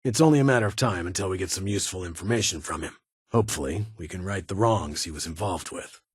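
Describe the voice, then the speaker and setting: An actor playing a stern, guarded military security leader in a video game, speaking formally in clipped, commanding phrases. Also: male voice, low voice, fast, husky, dry recording, clean studio sound. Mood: grim, measured. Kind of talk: video game character dialogue.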